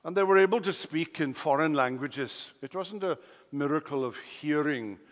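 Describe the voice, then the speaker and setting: A man with a Welsh accent, a native Welsh speaker, preaching an expository sermon, emphatic, swinging between loud declarations and quiet asides. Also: fairly high pitch, normal speed. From Wales. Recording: long hall reverb.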